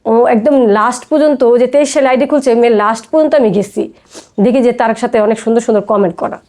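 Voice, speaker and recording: A woman's voice: fairly high pitch, racing, a little mellow. A woman with a Bangladeshi accent telling her personal story casually as a guest, often acting out quoted dialogue.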